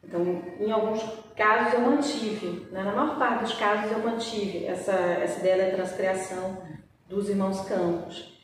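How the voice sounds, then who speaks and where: A Brazilian woman with a lightly mixed Portuguese accent, a poet talking as an interview guest, reflective and full of pauses and restarts. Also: mid-range voice, slight background noise. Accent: slightly mixed Brazilian Portuguese, a bit of Minas Gerais. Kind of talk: thoughtful conversational interview. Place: library event room. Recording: echoey hall, speaker far from mic.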